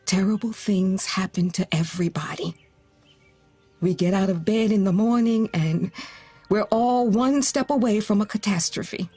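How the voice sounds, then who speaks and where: An actress playing a gentle, grieving middle-aged mother who offers consolation in a naturalistic audio drama. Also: mid-range voice, slightly rough, faint music behind. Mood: earnest, consoling. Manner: slow, gentle, earnest delivery with pauses. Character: grieving, consoling mother who lost her teenage daughter.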